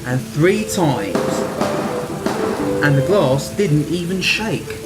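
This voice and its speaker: A man's voice: fairly high pitch, expressive, slow pace, over loud music. A man narrating a scripted Halloween radio story in a dramatic style.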